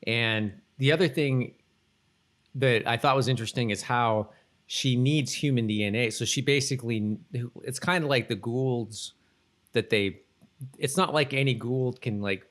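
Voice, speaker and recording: A man's voice: medium pitch, a little slow, a bit bright. A man chatting as a podcast co-host, rambling and rephrasing mid-thought. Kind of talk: casual chat, TV episode discussion.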